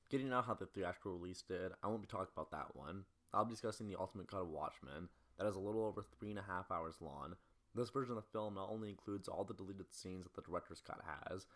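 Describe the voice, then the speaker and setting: A man in his early twenties narrating a scripted film critique as a video essayist. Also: low voice. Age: early 20s.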